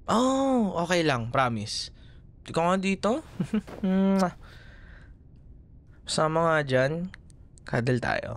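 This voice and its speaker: A man with a Filipino accent playing a gentle, doting young boyfriend who soothes his partner in intimate ASMR roleplay. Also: a little high, sing-song, extremely slow, pauses often, heavily acted. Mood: soothing, tender. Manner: soothing, affectionate, soft murmurs.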